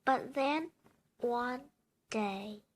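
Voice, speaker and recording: A female reader with a very high voice reads a children's storybook aloud. She takes it slowly, phrase by phrase, as bedtime story narration.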